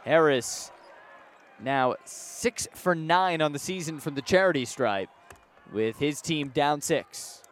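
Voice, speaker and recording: A man's voice: a little high, expressive, takes it slowly, slight background noise. An American man doing live radio basketball play-by-play with vivid, folksy, descriptive phrasing. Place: courtside in a sports arena.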